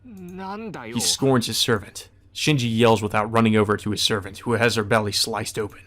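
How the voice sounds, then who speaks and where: A man's voice: on the low side, moderate pace, fairly bright tone. Man narrating a visual novel. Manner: first-person storytelling delivery. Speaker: let's play narrator.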